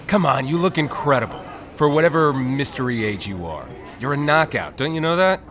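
Smooth-talking male stranger for podcast drama, male actor. Flirtatious, manipulative, lively pitch movement, casual coaxing delivery. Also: fairly quick, lightly acted. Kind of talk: naturalistic audio drama dialogue.

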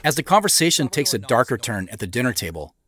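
A man giving scripted director's commentary in a measured, explanatory manner into a close mic. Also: medium pitch, sing-song, a bit bright. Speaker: show creator and commentator.

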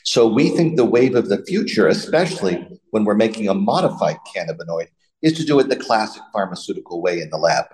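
A man answering interview questions, explaining drug chemistry in a measured, methodical way. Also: fairly low pitch, big pitch swings, darkish tone, low-quality recording. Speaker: pharmaceutical company executive.

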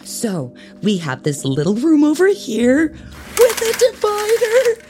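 An actress playing a prim, bossy, judgmental church youth leader in a comedic audio drama, sweetly stern and passive-aggressive with dramatic pauses. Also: female voice, sits high, big pitch swings, mellow, long reverb tail, faint music behind, heavily acted. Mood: condescending.

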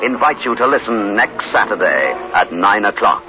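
Loud music runs behind a male announcer on a dull vintage radio recording. He sells in a polished, emphatic 1960s advertising style with animated pitch. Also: normal speed. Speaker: commercial announcer.

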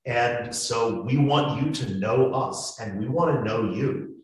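A man's voice: medium pitch, takes it slowly. A man talking earnestly about making a podcast drama, with many restarts, on a panel. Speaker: podcast creator. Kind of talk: panel discussion. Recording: echoey room.

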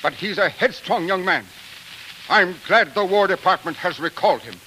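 A man acting a stiff, indignant army colonel with formal, forceful speech in a 1940s radio drama. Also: sits high, animated, moderate pace, rough recording. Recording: faintly hissy 1940s radio recording.

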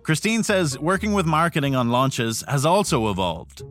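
A man's voice: a little high, very animated, normal speed, a bit bright. Male podcast narrator with faint music.

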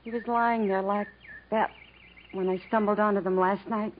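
A woman's voice: medium pitch, steady tone, moderate pace, close to an everyday voice. An actress playing a shaken but brave woman stranded in the jungle, earnest and plaintive in 1950s radio acting style. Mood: shaken, relieved. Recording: narrow, faintly noisy vintage radio recording.

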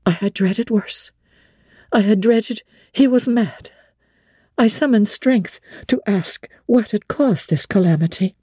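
Woman, audiobook narrator, first person, hushed, earnest, dark mellow tone, slow. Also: mid-range voice, husky, no room sound, clean studio sound. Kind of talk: dramatic audiobook reading.

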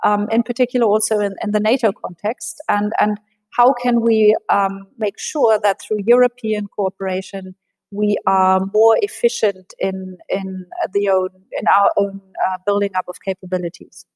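A woman speaking as an expert guest in an interview, answering in a measured, analytical way with frequent fillers and restarts. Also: medium pitch, flat delivery, darkish tone, poor audio.

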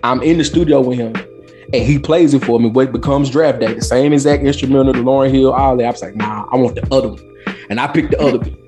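A man's voice: mid-range voice, quick pace. A man, telling stories casually as a podcast guest, emphatic and repeating phrases for effect. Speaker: podcast guest, former rapper. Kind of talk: casual storytelling. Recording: music playing behind the voice. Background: music.

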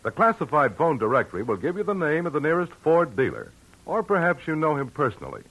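A male announcer delivering a persuasive sales pitch in a 1950 radio commercial. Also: sing-song, fast talker, fairly bright tone, poor audio. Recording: old radio broadcast.

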